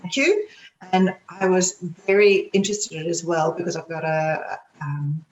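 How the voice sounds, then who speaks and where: A woman on a video call with a croaky voice, sharing quick, enthusiastic feedback. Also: lowish voice. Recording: echoey room with faint noise.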